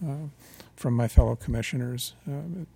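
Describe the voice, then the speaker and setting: A man speaking as a utility regulator at a public meeting, measured and formal, with frequent fillers and restarts. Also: medium pitch, gravelly, dark tone, breathy edge, dry recording.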